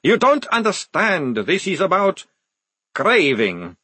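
A man's voice: high-pitched, wildly swooping pitch, takes it slowly, sharp tone, breathy edge, no room sound, voice pushed up, exaggerated voice. Man voicing a jittery, sardonic young vampire. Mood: agitated. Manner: jittery, wry. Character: edgy, sardonic young vampire soldier craving coffee.

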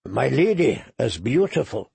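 A man voicing a shy, gentle young noblewoman in an audiobook. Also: mid-range voice, big pitch swings, measured pace, gravelly, husky, no echo, heavily acted. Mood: timid.

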